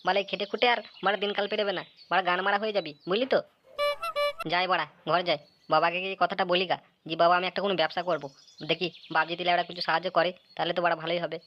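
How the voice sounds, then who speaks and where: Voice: medium pitch, fairly even intonation, rapid-fire, music in the background, close to an everyday voice. A performer voicing a cheeky, idle young man in a comic cartoon, with crude, slangy delivery. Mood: casual, crude. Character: Futo, cheeky idle young man turning fish seller. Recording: dull lo-fi audio with some noise.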